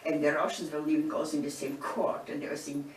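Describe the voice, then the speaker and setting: A woman, from eastern Poland, giving oral history testimony in an unhurried storytelling way, often restarting her phrases. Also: deep voice, monotone. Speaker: Holocaust survivor interviewee. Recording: roomy, distant mic, background noise.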